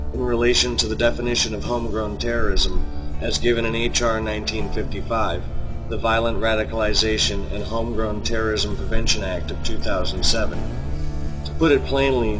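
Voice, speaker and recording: A man reading scripted opinion commentary in a measured, deliberate, argumentative manner. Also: fairly low pitch, low-quality recording. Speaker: video commentator. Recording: music bed under the voice. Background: music.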